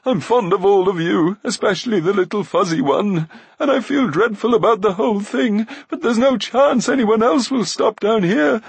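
A man's voice: high-pitched, moderate pace, gravelly, few pauses, husky, no echo, pitched above the performer's own voice, full character voice. A man voicing a cowardly, self-pitying little giant in a fantasy audiobook, pleading and wheedling plaintively. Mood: hurt, plaintive.

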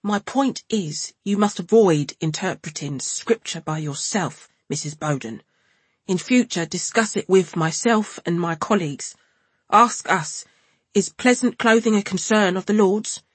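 In an audiobook, a pompous young preacher voiced by a woman drones through his nose in a put-on refined Cockney. Each word is slowly and painfully enunciated, and his tone is sanctimonious. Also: medium pitch, breathy edge, no echo. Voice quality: nasal. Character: pompous young male preacher, slow and nasal.

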